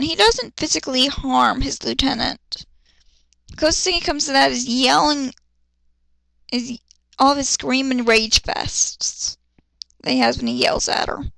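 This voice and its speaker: Female reader-commentator, blunt and sarcastic, creaky edge, close dry sound, dramatic amateur story reading. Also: high voice, a bit bright, no echo. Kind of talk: dramatic fan fiction reading with ranting asides.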